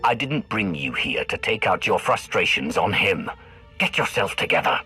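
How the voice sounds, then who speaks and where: A man's voice: low voice, sing-song, sharp tone, faint music behind, exaggerated voice. A man playing a coolly menacing Sith in a video game, speaking with controlled authority. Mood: commanding. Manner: controlled, authoritative, measured threats. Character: commanding, coolly menacing male Sith agent. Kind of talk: video game cutscene acting.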